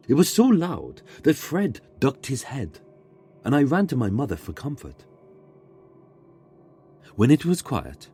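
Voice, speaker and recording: A man reading a scripted ghost story in a measured, dramatic way, pausing for suspense. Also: medium pitch, warm tone. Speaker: guest narrator reading a submitted ghost story.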